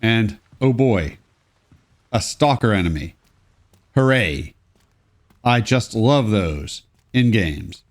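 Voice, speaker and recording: Congested, lively young man commentating. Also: fairly low pitch, slow pace, pauses often. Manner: casual, sarcastic asides. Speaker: let's play host. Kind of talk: gameplay commentary.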